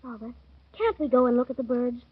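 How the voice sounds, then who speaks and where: A man voicing a small, curious, pleading boy in a 1930s radio drama, with a high, whining, insistent tone on an old broadcast recording. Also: fairly quick, slightly rough, phone-quality sound.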